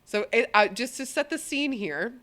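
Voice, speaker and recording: A woman co-hosting a podcast in loose, casual conversation. Her pitch moves around in a lively, animated way. She swears casually and restarts her phrases as she goes. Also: moderate pace. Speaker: podcast co-host. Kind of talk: casual chat.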